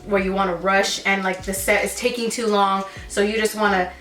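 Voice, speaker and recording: A woman's voice: medium pitch, little pitch movement, brisk, fairly bright tone, echoey room, distant mic. A woman in her twenties chatting casually as she answers viewer questions about lash work, calling her viewers girl and sis. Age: 20s. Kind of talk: casual Q&A chat while sipping wine.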